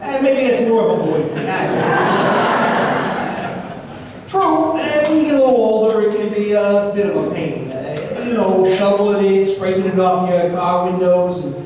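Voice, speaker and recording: A man's voice: sits high, unhurried. A man playing a jaded, wry retired private investigator in an old time radio drama, hesitant and rambling. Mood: wry, reminiscing. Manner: hesitant, rambling, filler-heavy storytelling. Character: jaded, wry retired small-town private investigator telling his tale. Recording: echoey hall, distant mic, faint noise.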